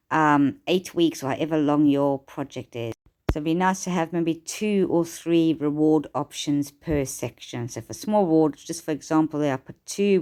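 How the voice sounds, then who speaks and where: A woman talks through her self-help advice in a relaxed, encouraging way. Her voice sits low and sounds a little hoarse from a cold. She often restarts her sentences as she chats along. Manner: chatty, encouraging, repeats and restarts. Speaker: life coach. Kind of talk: casual self-help talk to camera.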